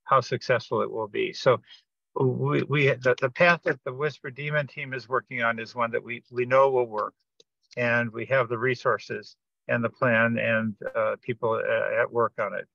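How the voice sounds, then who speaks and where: A man giving a technical explanation on a group teleconference, speaking haltingly and often repeating words as he goes. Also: mid-range voice, fairly even intonation, vocal fry, sharp tone, dry recording. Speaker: project participant giving an update. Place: remote teleconference. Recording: dull, dry lo-fi conference-call audio.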